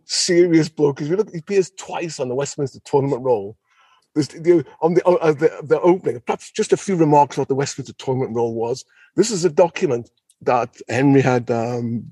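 A man's voice: on the high side, mellow, husky, no echo. A man talking conversationally about history as a podcast guest, enthusiastic and digressive, often repeating phrases for emphasis. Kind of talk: unscripted, conversational history discussion.

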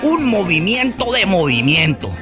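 Fervent Venezuelan socialist leader, animated. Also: male voice, sits high, a little slow, music in the background. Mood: impassioned. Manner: oratorical, stammering on word starts. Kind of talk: radio drama acting.

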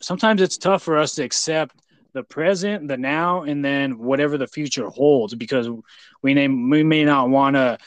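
A man's voice: mid-range voice, normal speed, dry recording. An American man hosting a casual interview, talking effusively in a rambling way with many repeats. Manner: effusive praise, rambling, repeats "you know". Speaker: podcast host.

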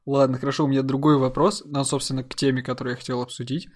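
A man's voice: mid-range voice, level tone, rapid-fire, a little hiss or hum. A man hosting a casual chat who asks questions and teases his guest with jokes. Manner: teasing, joking questions. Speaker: host.

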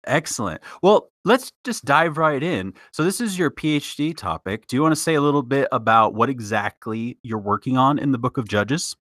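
A man's voice: medium pitch, lively intonation, moderate pace, few pauses, a bit bright, dry recording, studio quality. A man hosting a podcast, introducing his guest and asking questions in an upbeat way. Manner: upbeat, brief affirmations. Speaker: podcast host. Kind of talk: podcast interview.

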